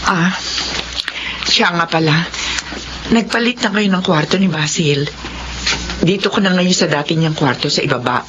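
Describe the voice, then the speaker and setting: A woman's voice: lowish voice, moderate pace, long pauses, highs cut off, noisy background, natural voice. An actress playing a remorseful middle-aged Filipino mother in an emotional, pleading melodrama style. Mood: gentle, subdued.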